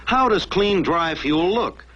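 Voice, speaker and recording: A man's voice: sits high, animated, slow, pushed and bright. An American man narrating a mid-century military training film from a script in a dramatic, emphatic manner. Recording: dull lo-fi old film soundtrack.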